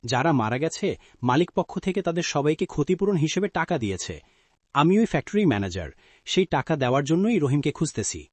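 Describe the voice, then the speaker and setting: Casting: polite townsman manager played by a man, lightly high pitch, lively intonation, cartoon. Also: fast, no echo. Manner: courteous. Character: polite, trusting factory manager from town. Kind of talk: cartoon voice acting.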